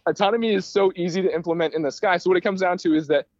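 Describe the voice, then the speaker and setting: A man's voice: sits high, rapid-fire, darkish tone, dry recording, muffled recording. An American man speaking conversationally as an interview guest, explaining things in an enthusiastic, rambling way. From United States, Michigan. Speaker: guest, aerospace start-up founder.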